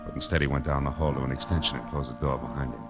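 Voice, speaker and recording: An actor playing a brash, sardonic American private detective in 1940s radio style, with clipped, wisecracking tough talk. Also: male voice, very deep voice, steady tone, racing, over music. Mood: wry narrating. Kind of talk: 1940s radio acting, first-person narration and dialogue.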